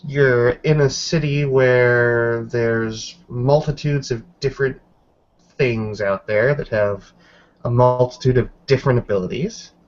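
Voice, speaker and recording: A man voicing a cautious, questioning woman in a tabletop roleplaying game, speaking in a measured, hesitant way. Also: mid-range voice, dull audio, natural voice. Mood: probing.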